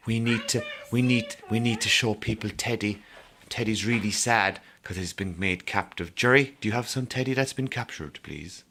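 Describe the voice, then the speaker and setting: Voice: medium pitch, moderate pace, noisy background. An adult with an Irish accent presenting a playful children's puppet show, exclaiming and coaxing in a singsong way.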